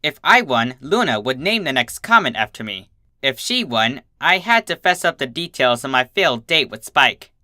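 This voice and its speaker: A narrator giving an expressive first-person reading of a comic fan story. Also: medium pitch, moderate pace, dry recording, clean studio sound.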